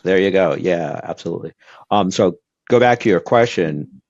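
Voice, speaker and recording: A man's voice: low voice, animated, moderate pace, a little mellow, dry recording, dull audio. A man from Brazil whose first language is Portuguese, giving earnest advice as an interview guest with lots of fillers and restarts. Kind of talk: casual interview talk.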